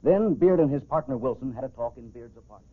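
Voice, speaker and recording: A medium-pitched American man, an actor as a formal crime narrator, telling the case in scripted 1940s radio style. The sound is narrow and phone-like, like an old broadcast. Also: expressive, quick pace, close to an everyday voice. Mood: matter-of-fact. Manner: formal storytelling. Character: male crime-case narrator reporting to a colonel.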